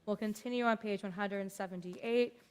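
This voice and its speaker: A woman cantor leading congregational prayer in a synagogue, with a measured, deliberate way of reading. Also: medium pitch, fairly even intonation, a little mellow, clean tone. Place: synagogue sanctuary. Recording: long echo of a large hall.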